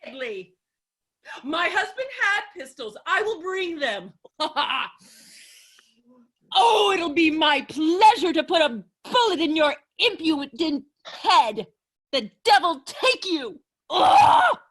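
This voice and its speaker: An actress plays an indignant widow in a theatrical comedy. Her voice is extremely high and swings widely in pitch. She draws her words out slowly, melodramatic and imperious. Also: sing-song, fairly bright tone, full character voice. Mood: gleefully furious. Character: indignant, fiery, haughty widow quarrelling theatrically. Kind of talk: theatrical staged reading of a classic farce. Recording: home recording over video call.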